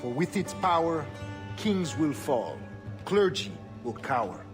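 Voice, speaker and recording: A commanding villainous leader from a video game, grandiose and formal. His pitch rises and falls expressively as he lays out his words with measured confidence. Faint music plays behind him. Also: very animated. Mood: grandiose, confident. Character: commanding, scheming male Templar leader, smooth and grandiose. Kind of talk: dramatic video game acting.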